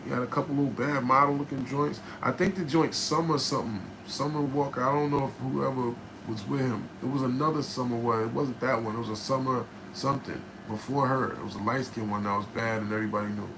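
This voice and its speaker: A man from New Jersey, a rapper, telling a studio story in casual, profane style with a raspy voice, often asking "right?". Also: medium pitch. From New Jersey, USA. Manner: animated, profane, keeps checking in with "right?". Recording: noticeable background noise.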